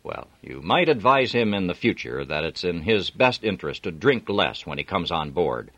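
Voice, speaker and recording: A man voicing a gruff, formal middle-aged ship's captain in an audiobook. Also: low voice, big pitch swings, normal speed, a bit bright, no room sound, lo-fi sound, heavily acted.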